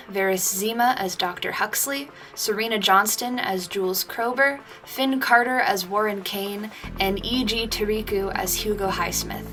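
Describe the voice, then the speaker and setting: A woman's voice: mid-range voice, a bit bright. Woman reading credits over music. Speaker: credits announcer. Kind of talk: scripted credits read. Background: clear music.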